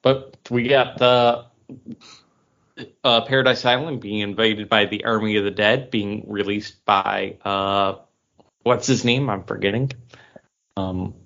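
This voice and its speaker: A man's voice: on the low side, fairly even intonation, a little slow, gravelly, long pauses, a bit bright. A man co-hosting a comics podcast in casual chat, trailing off and restarting when he reaches for names. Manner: chatty, trails off and restarts while recalling names. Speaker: podcast co-host. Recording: dull lo-fi podcast audio.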